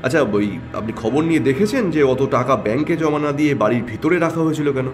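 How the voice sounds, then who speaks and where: An actor playing a composed, shrewd private detective in an audio drama, speaking in a measured, probing way. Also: male voice, mid-range voice, animated, soft background music, natural voice. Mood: inquisitive. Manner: measured, probing, terse questions. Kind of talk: Bengali audio story acting.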